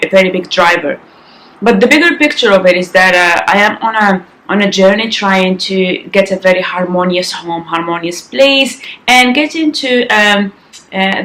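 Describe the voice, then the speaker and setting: A woman in her thirties, slightly raspy, chatting casually to camera at home with many ums and restarts. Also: mid-range voice, fairly bright tone, reverberant, rough recording. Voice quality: raspy in the morning. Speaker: vlogger. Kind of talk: casual vlog chat to camera. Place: sunlit living room at home, indoors. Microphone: compact camera on-board mic.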